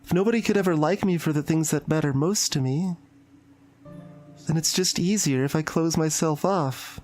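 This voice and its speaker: Shy, intense high school girl for a visual novel, played by a woman: hesitant, confiding, unsettlingly obsessive, over music. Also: moderate pace, a little mellow, lightly acted. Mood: troubled, confessional. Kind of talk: dramatic visual novel voice acting. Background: background music.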